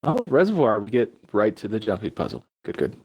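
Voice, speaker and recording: A man's voice: lowish voice, big pitch swings, husky, no echo, highs cut off. A man from Denmark co-hosting a gaming stream, chatting playfully and teasing his friends. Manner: playful, teasing, slow when describing things. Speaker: stream co-host. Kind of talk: casual gaming chat.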